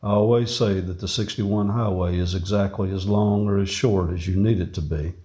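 A man's voice: deep voice, steady tone, moderate pace, warm tone, slightly rough, dry recording. A man reading lyrical, personal prose from a script. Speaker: reader, a farmer.

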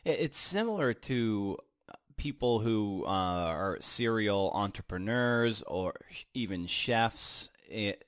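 A man's voice: on the low side, expressive, takes it slowly, a bit bright, no echo, studio quality. A man hosting a podcast interview, casual and searching for words as he reflects back on what his guest said. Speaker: podcast host. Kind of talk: conversational interview.

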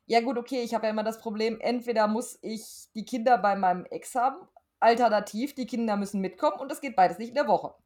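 Frank woman, slightly high voice. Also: fast talker, darkish tone. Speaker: podcast guest. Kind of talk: casual chat.

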